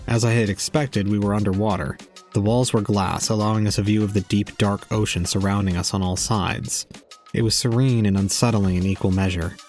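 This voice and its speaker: A man's voice: on the low side, natural voice. A man playing an aloof young man, narrating an audio drama in a formal, detached, cold way.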